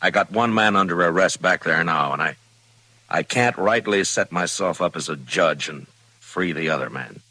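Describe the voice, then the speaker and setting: An American man in a vintage radio western, playing a steady, conscientious frontier marshal with a plainspoken, halting, grave manner. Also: low voice, dry recording. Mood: grave, torn. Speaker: radio actor. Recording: vintage radio recording, dry studio sound.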